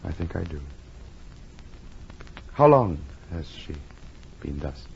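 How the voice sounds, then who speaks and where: A man acting a rational, concerned friend in 1940s radio drama, earnest and theatrical, moving between hushed and urgent tones. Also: deep voice, very animated, takes it slowly, vocal fry, exaggerated voice. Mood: hushed, shocked. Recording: dull, noisy vintage radio recording.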